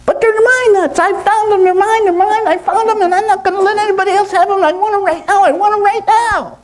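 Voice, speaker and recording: A performer voicing a greedy, whiny little male monkey in a storytelling session, shrill, squeaky and excitable, repeating himself frantically. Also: a little fast. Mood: greedy, possessive.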